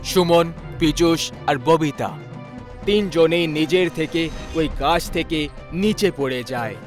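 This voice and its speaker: A male storyteller with a fairly high-pitched voice narrates a scripted horror tale. Music plays behind his voice. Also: slow.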